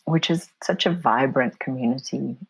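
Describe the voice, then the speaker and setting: A middle-aged woman from Poland, an interview guest talking reflectively in a soft, thoughtful way that often drifts into whispery asides. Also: a bass voice, normal speed, vocal fry, breathy edge, no room sound, poor audio. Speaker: interview guest, photomedia artist.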